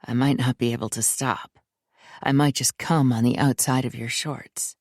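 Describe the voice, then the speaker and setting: A woman reading the part of a dominant man in an erotic story, speaking low, slow and controlled. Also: steady tone, a little mellow, no room sound, clean studio sound. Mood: low, commanding. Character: commanding, dominant adult man lover.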